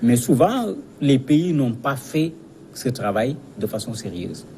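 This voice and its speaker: A man with a mid-pitched voice gives explanatory commentary as a studio guest. He lays out his points didactically, often repeating a word while he finds the next phrase. There is faint noise behind him. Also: sing-song, unhurried, warm tone. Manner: didactic, repeats words as they search for phrasing. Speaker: guest analyst. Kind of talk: explanatory commentary in a TV news interview.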